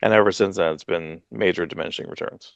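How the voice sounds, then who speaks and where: A man in his forties co-hosting a comics podcast from home on a headset, talking in a relaxed, hesitant way with many fillers. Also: sits low, quick pace, gravelly, no echo, lo-fi sound. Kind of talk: casual chat.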